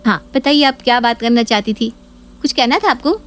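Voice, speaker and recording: A woman voicing a calm, polite young daughter-in-law in a spoken story. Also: fairly high pitch, fairly quick, pitched above the performer's own voice, close to an everyday voice. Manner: polite, soothing.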